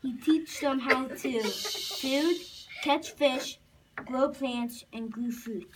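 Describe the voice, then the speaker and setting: Slow, high young voice narrating from a distance. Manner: halting, repeats and restarts phrases. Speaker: puppet show narrator. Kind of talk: live storytelling narration of a homemade puppet show. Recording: distant, faintly noisy home recording.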